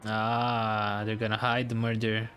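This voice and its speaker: A man giving casual, chatty live reactions as an excitable game streamer. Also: fairly low pitch, unhurried, faint music behind.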